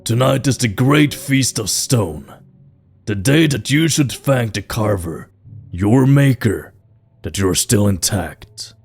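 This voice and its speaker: A man's voice: mid-range voice, animated, slow, gravelly, husky, exaggerated voice. A man playing a proud, grave king of stone folk in a fantasy audio drama, speaking in a stately, formal way. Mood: solemn. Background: background music.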